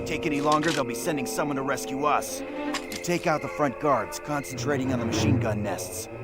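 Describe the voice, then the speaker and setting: An actor playing a commanding American World War II army captain in a video game, speaking with brisk authority in firm, clipped orders. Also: male voice, medium pitch, loud music behind the voice, lightly acted. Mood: brisk, businesslike. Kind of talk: video game military drama dialogue. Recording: noisy battlefield game audio.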